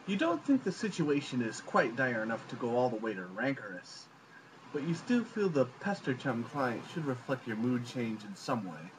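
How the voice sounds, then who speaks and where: A man's voice: medium pitch, big pitch swings, moderate pace, noisy background. A man reading scripted narration in a wry, theatrical storytelling way on an amateur home recording. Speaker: narrator reading a webcomic aloud.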